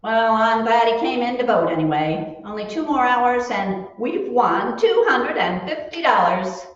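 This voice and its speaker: An actress playing a sensible, polite, gently scolding wife in an old-time radio comedy. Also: female voice, fairly high pitch, expressive, a little slow. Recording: echoey room, distant mic, noisy, dull.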